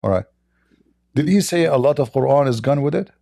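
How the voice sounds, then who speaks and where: A man's voice: medium pitch, lively intonation, fairly quick, gravelly, dark tone, no echo, clean studio sound. A man hosting a live debate, pressing a caller with repeated questions. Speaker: debate host. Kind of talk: live call-in religious debate.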